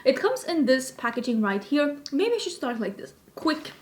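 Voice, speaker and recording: Chatty Swedish woman with a high voice. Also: sing-song, normal speed. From Sweden. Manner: chatty, enthusiastic, rambling asides. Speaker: beauty youtuber. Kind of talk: makeup review talking to camera. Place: home filming room with plants, indoors.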